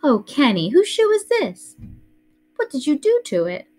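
A woman voicing a frightened little girl who scolds and threatens to tell on her brother, with a shrill, whiny voice. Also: wildly swooping pitch, a little slow, darkish tone, faint music behind, full character voice. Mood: scolding.

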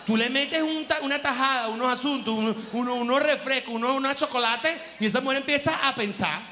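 A man's voice: very high-pitched, brisk, pushed and bright, a little hiss or hum. A man, doing rambling stand-up comedy on a theater stage with a handheld mic, jumping into exaggerated put-on quotes. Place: large theater stage with live audience.

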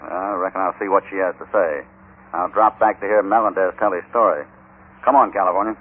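Cowboy hero, American, low firm voice, shrewd and in command, tinny vintage radio. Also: male voice, fairly even intonation, fast. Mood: calm, probing. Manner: firm, decisive. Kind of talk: 1950s radio Western acting. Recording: tinny, noisy old radio recording.

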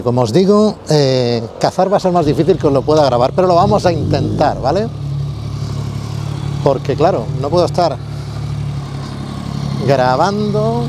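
A man's voice: medium pitch, a little mellow, a little hiss or hum. Man explaining street photography outdoors. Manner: explanatory, addresses viewers directly, frequent "vale" and fillers. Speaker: photography vlogger. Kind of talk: casual instructional vlog on location.

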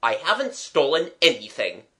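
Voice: medium pitch, big pitch swings, a little slow, a bit bright, pitched above the performer's own voice. A reader voicing a flustered working-class young man, gasping, agitated and apologetic, in a storybook. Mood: upset, confused.

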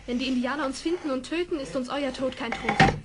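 Woman playing high-voiced, brave young lady. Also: very noisy, lightly acted. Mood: earnest, grave. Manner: earnest, measured. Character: brave, level-headed young frontier gentlewoman.

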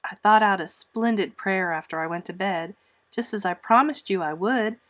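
A woman's voice: medium pitch, moderate pace, natural voice. A woman voicing an earnest, imaginative orphan girl in an audiobook, with gushing, dramatic, wordy delivery. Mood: apologetic, earnest. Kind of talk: audiobook character voice.

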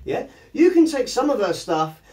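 High-pitched, animated man teaching songwriting. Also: normal speed. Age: 30s. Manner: encouraging, chatty, rambling. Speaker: music teacher. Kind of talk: casual songwriting lesson with children. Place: small home music studio, indoors. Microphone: camera across the room. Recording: distant room camera mic.

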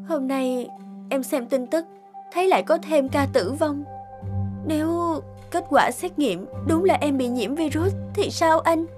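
An actress voicing a gentle, fearful young nurse and bride-to-be in a cartoon, soft and hesitant in manner. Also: female voice, high-pitched, measured pace, music in the background. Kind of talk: cartoon dubbing.

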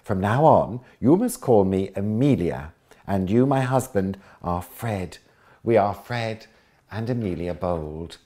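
A scheming lady hyena full of excited plans, bossy and coaxing, as a man reads her aloud from a storybook. She talks with a mellow, low-set tone and a quick, eager lift, putting on airs when she plays the grand lady. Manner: excited, coaxing, goes posh.

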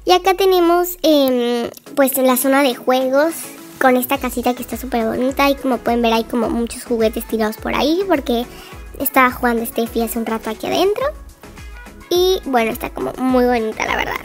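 A woman's voice: high-pitched, a little fast, soft background music. A woman giving a chatty, gushing voice-over tour of a game house, full of little fillers.

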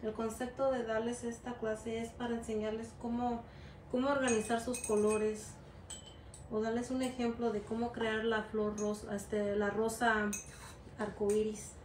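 A woman's voice: a little high, little pitch movement, a little slow, a little mellow, slight background noise. A middle-aged Mexican-American woman from the United States, teaching a gelatin art class in a rambling, chatty way with many fillers and English words mixed into her Spanish. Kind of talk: casual hands-on class demonstration. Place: indoor work table. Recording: echoey room with faint ambience.

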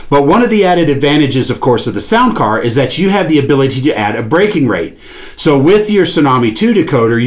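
A man in his forties giving an instructional product demonstration, explaining things step by step. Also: mid-range voice. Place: small indoor studio with padded panel wall, seated at a table.

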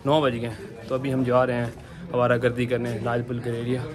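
A young man narrating a casual night-out vlog, chatty and excited, recorded on a handheld phone. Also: mid-range voice, brisk, crowd noise. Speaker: vlogger. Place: shopping mall and streets at night. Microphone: handheld phone camera. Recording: phone audio with loud background noise.

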